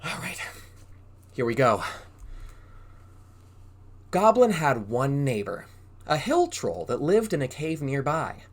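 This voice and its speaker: Animated man reading to young children. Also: on the high side, slow, long pauses. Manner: animated, exaggerated, prompting child listeners with questions. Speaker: preschool drama teacher reading a storybook aloud. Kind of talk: interactive children's read-aloud.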